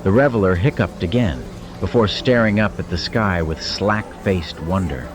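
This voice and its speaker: A man's voice: low-pitched, normal speed, noisy background. A man giving dramatic scripted narration of a fiction audiobook. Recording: studio narration over cinematic music.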